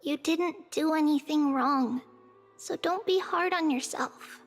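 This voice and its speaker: A woman voicing a small, gentle, childlike female companion in a video game, speaking tenderly. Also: high-pitched, slow pace, long reverb tail, faint music behind. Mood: tender, consoling. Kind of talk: scripted game voice acting.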